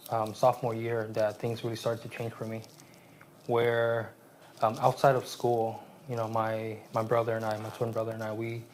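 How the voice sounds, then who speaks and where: A man in his late twenties from Vietnam, raised in San Jose, speaking as an interview guest in a halting, reflective way with many restarts. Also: mid-range voice, a little hiss or hum. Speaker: guest, aspiring therapist.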